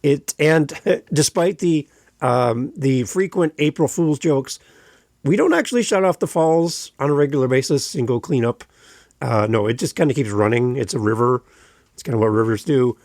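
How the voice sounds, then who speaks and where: A man's voice: mid-range voice, animated, moderate pace, darkish tone, no room sound. Canadian male podcast host, chatty and rambling, dry close-mic sound, mid-range voice. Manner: chatty and rambling, with light humor. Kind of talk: solo podcast monologue.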